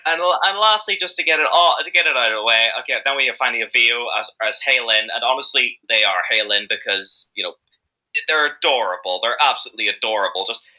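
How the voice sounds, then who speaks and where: Bright, rambling Irish man. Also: mid-range voice, fast, low-quality recording. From Ireland. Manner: hesitant, rambling, enthusiastic. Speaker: interview guest, amateur fandub voice actor. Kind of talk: casual interview chat.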